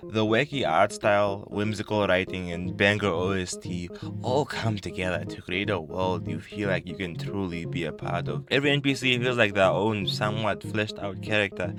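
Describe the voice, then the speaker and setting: A young man with a low voice delivers scripted video commentary. He slips in casual asides and sounds wry and self-aware. Music plays clearly behind him. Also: normal speed. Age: young adult. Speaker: YouTube video essayist.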